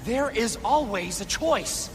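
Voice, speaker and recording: An actor playing a weary, mournful former war god turned pacifist in a video game, speaking solemnly and deliberately. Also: male voice, animated. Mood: sorrowful, resolute. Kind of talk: dramatic video game acting. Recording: long hall reverb, noisy ambient rumble.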